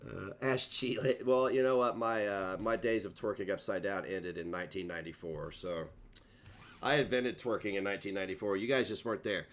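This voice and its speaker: A man's voice: lowish voice, a little fast. A man, chatting casually on a livestream in a rambling, self-mocking way and tripping over his words. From Kentucky.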